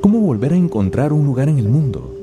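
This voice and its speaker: A man's voice: mid-range voice, very animated, fast talker. A man delivering dramatic, scripted documentary narration as a radio narrator. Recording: music behind the voice.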